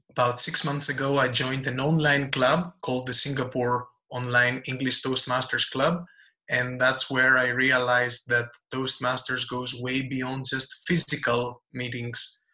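A man from Greece introduces himself to an online meeting at a deliberate pace. His voice is bright and forceful, at a middle pitch, heard over a video call. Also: low-quality recording. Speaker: panelist. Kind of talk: self-introduction.

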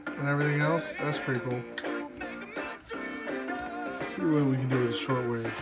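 A man's voice: medium pitch, fairly even intonation, moderate pace. A man casually showing off an item for sale at a table indoors, loose and chatty, trailing off and restarting his sentences, recorded on a phone camera. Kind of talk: casual product demo. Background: radio and tape music playing.

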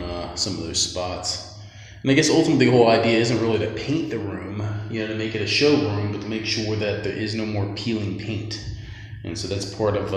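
A man's voice: on the low side, darkish tone. A man casually narrating his own painting work as a handyman, talking off the cuff in an informal, rambling way. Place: small empty concrete basement room. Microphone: handheld phone or camera held by speaker. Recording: very echoey bare room, background noise.

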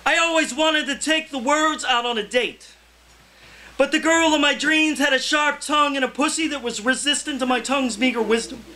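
High-pitched, forceful man declaiming poetry. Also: moderate pace, a little hiss or hum, rough recording. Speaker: poet. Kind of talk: live poetry reading, declaimed. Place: indoor cafe reading before a small audience.

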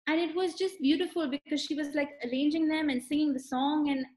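A young woman tells stories in a high, bright register. She lingers on vivid details as she speaks. The video call audio carries a noticeable room echo. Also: steady tone, brisk. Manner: warm storyteller, lingering on striking details. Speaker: author being interviewed. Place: room with bookshelves, indoors.

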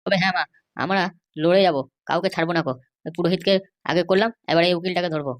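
A woman's voice: lowish voice, racing, lightly acted. A woman voicing a defiant, hot-headed young married man in a comic cartoon, blustering and argumentative. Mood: eager.

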